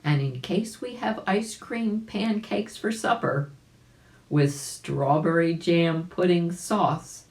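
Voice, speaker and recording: Slow, low-pitched woman reading a story. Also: a little mellow, echoey room. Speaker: volunteer storybook reader. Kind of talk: animated children's story narration.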